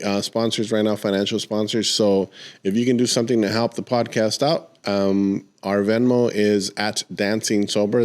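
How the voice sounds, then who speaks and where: Low-voiced man hosting a casual podcast. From born in Mexico, moved to the US at age four. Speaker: podcast host. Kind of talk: casual podcast interview chat.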